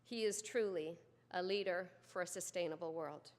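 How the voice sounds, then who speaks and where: A woman dean reading a formal honorary degree citation at a ceremony in a steady, formal way. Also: on the low side, normal speed. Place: large ceremony hall. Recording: long hall reverb.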